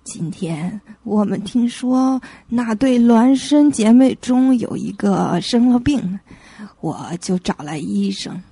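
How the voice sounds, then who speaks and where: An actress playing a grieving woman who lost her twin, with halting, anguished delivery in an audio suspense drama. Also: a little high, animated, gravelly, warm tone, slightly rough, dry recording, exaggerated voice. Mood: sorrowful, uneasy.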